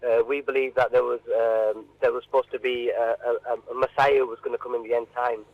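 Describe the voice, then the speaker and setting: A man answering informally in a radio interview, halting and often repeating his words. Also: medium pitch, flat delivery, dry recording, phone-quality sound.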